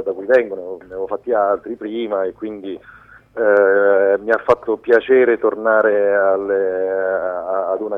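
A man answering interview questions spontaneously and haltingly as a radio guest. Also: fairly low pitch. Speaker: film director as radio guest. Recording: dull lo-fi radio call.

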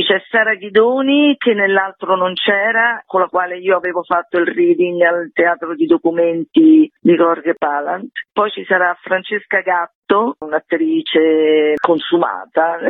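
A woman's voice: lowish voice, dry recording. A woman explaining things in an informal, self-ironic way as a theatre guest in a radio interview over the phone. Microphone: phone call to a radio show. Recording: tinny, muffled phone line.

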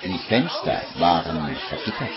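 A slow, low-pitched man narrates a radio drama in measured, storytelling fashion, over music in a muffled vintage recording. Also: sing-song, a loud crowd. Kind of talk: scripted radio play narration.

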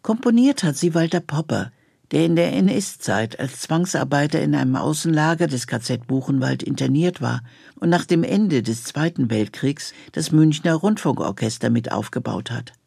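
A man's voice: a little high, lively intonation, moderate pace, gravelly, a little mellow, slightly rough, no echo, clean studio sound. A man presenting a scripted introduction to a radio series.

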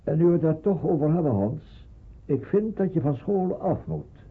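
A man's voice: a little high, expressive, moderate pace. An actor playing a stern, worried middle-aged father in a radio drama, speaking firmly and emphatically. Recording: muffled, husky phone-like old radio recording.

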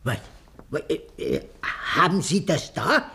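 Creaky, blustering older man. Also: a little high, very animated, unhurried, pushed and bright, full character voice. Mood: startled, gruff. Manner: stammering, repetitive, blustering. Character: excitable, indignant older man, robbed and blustering. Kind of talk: classic German radio play acting.

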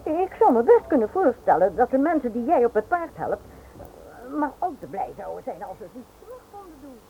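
A woman's voice: a little high, very animated, fairly quick, phone-quality sound. A woman playing a well-bred, teasing, chatty wife in a radio drama. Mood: fondly insistent. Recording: thin, noisy old radio recording.

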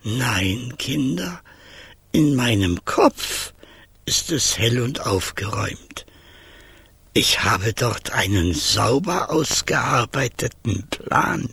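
An actor playing a ragged, stubborn former mayor with a croaky, husky voice, speaking deliberately and scolding in a German radio play. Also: male voice, mid-range voice, expressive, sharp tone, no room sound, heavily acted. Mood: stubborn, insistent.

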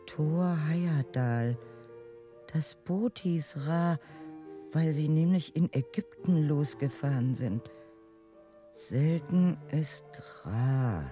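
An actress playing a weary, stubborn housewife who nurses a sick husband in a radio drama, speaking in a halting, reciting way. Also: female voice, very deep voice, exaggerated voice. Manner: slow, halting recitation, reciting chores and puzzle words to herself. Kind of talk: German radio drama acting. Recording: studio radio play with music underneath.

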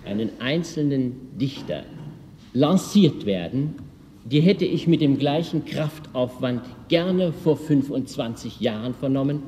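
A man giving a deliberate, emphatic public speech in a noisy archive recording from a reverberant hall. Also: mid-range voice, lively intonation, mellow.

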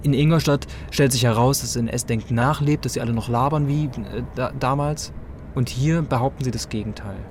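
A man's voice: mid-range voice, fairly quick, a little mellow. A man from East Germany arguing casually, insistent and slangy. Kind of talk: casual argumentative chat. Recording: clear vehicle and street noise.

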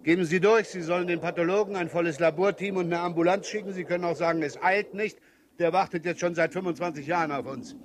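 An actor playing a grumbling, harried police inspector giving brisk orders in a German radio crime drama. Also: male voice, sits high, fairly even intonation, natural voice. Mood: brisk, commanding. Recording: noisy 1970s radio recording.

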